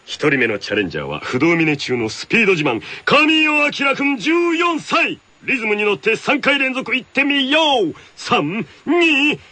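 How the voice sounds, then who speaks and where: A man hosting a radio show in a playful, excitable announcing style, switching between scripted segments and banter. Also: very high-pitched, moderate pace, dry recording. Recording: music playing behind the voice. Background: music.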